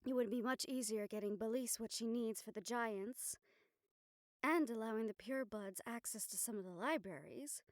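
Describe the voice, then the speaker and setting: A woman playing an affectionate, scheming young noblewoman in an intimate audio roleplay, speaking formally and measuredly with thoughtful pauses. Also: on the high side, dry recording, clean studio sound, lightly acted. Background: background music.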